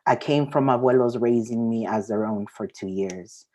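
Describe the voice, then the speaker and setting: A woman's voice: very deep voice, normal speed, mellow. A woman, sharing her poetry at an open mic. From Mexico, raised partly in the US Southwest. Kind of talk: spoken-word poetry reading with casual introduction.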